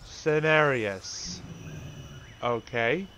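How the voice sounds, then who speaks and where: A man's voice: mid-range voice, drawn-out words, background noise. A man giving casual, informal commentary as he plays a video game, reacting to what happens on screen. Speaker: gamer, video host.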